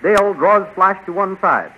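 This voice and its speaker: A man's voice: high voice, normal speed, a little hiss or hum. A man with an American accent, reading scripted narration as a 1930s radio announcer in a declamatory, promotional way. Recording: dull 1930s radio recording.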